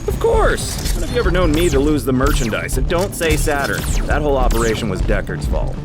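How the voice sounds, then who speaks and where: A roguish thief of the spaceways, glib and mock-courteous. His pitch leaps about theatrically as he charms and needles. Loud music plays under this sci-fi audio drama. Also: huge pitch swings, moderate pace. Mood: playful bantering. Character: roguish, flippant, honor-bound space thief with flamboyant charm.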